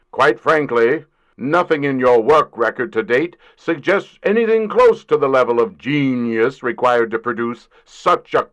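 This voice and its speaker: A man in an audio drama playing a gruff, condescending corporate boss, pompous and grudging in his delivery. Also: mid-range voice, fairly bright tone, muffled recording.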